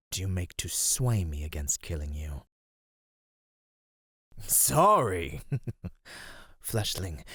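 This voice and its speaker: A man playing an ancient cave monster in an ASMR roleplay, husky and low, speaking formally with predatory, teasing menace. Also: a little mellow, dry recording, studio quality, exaggerated voice. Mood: taunting judgment.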